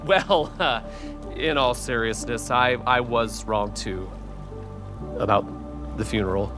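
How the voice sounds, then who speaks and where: An actor playing an excitable, flustered, scatterbrained brother in a family audio drama. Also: male voice, medium pitch, slow, over music. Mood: sheepish.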